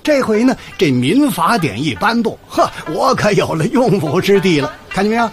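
A man's voice: high voice, sing-song, normal speed, faint music behind. An actor playing a chatty retired courthouse doorman in a Chinese radio drama, an older man with a Beijing accent and a folksy, theatrical storytelling manner. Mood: folksy, enthusiastic. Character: chatty retired courthouse doorman, eager neighborhood law volunteer. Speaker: storytelling host and lead character of a radio drama.

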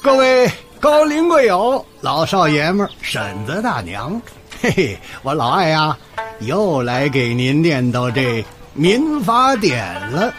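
A man's voice: on the high side, huge pitch swings, slow, fairly bright tone, faint music behind, exaggerated voice. An actor playing a chatty retired courthouse doorman in a Chinese radio drama, an older man with a Beijing accent and a folksy, theatrical storytelling manner. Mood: folksy, enthusiastic. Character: chatty retired courthouse doorman, eager neighborhood law volunteer. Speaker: storytelling host and lead character of a radio drama.

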